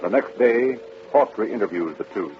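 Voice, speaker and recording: An actor delivering solemn, authoritative scripted narration for a 1930s-style radio crime drama. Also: male voice, on the low side, animated, normal speed, slight background noise, lightly acted. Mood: solemn, dramatic. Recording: dull old radio recording. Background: music.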